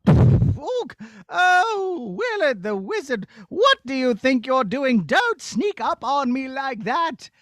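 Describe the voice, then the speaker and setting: A man's voice: very high-pitched, sing-song, takes it slowly, no echo, full character voice. A man voicing a fretful, easily frightened old king, dithering and blustering with sighs, in a children's puppet show. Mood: startled, indignant.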